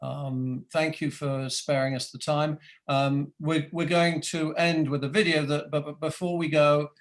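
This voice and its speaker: A man's voice: a little high, flat delivery, unhurried. A man hosting an online interview, hesitant, with many restarts and fillers. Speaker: interview host. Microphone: video call.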